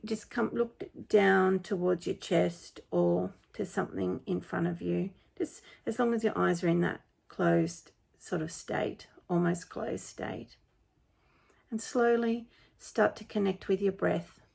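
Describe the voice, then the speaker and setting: A woman leading a guided meditation in a gentle, unhurried, informal and soothing way. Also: lowish voice, fairly even intonation, gravelly, slightly rough. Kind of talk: guided meditation, spoken softly to listeners.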